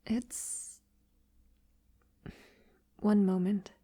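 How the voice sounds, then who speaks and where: A woman playing a centuries-old, wealthy vampire lover in an intimate ASMR audio roleplay, soft-spoken and half-whispering with hesitant pauses. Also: medium pitch, little pitch movement, breathy edge, no room sound, clean studio sound. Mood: hesitant, uneasy.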